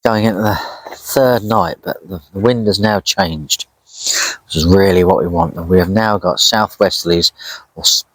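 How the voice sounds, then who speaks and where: Bloke doing a fishing vlog outside, really low voice, rambly and chatty, dry sound no echo. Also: male voice, normal speed, a bit bright. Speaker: angler vlogging a fishing trip. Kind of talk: casual outdoor vlog commentary. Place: outdoors at a lakeside.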